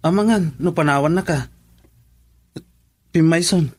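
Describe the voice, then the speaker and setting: A male buddy in a radio drama. He talks very slowly in a slightly high voice. His advice comes out frank and blunt. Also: darkish tone, breathy edge. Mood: frank, nudging. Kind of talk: Ilocano radio drama acting.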